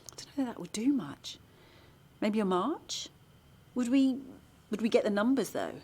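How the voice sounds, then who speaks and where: A woman playing a determined, organised small-town campaigner in an audio drama, with an articulate, measured delivery. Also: on the high side, expressive, a little mellow, husky, exaggerated voice. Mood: weary, thoughtful. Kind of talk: naturalistic audio drama, dialogue and narration.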